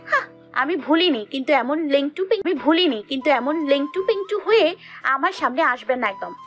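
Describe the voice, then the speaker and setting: Lady doing a feisty sulky young wife, high girlish voice, super animated, grumbly, romance story. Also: moderate pace, soft background music. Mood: indignant. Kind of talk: dramatized first-person romantic audio story.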